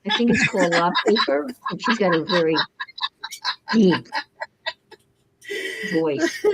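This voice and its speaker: Woman, gravelly, hoarse from a cold, casual crafting chat, teasing. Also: medium pitch, big pitch swings, takes it slowly, dry recording, poor audio. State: deep, rough voice from a cold.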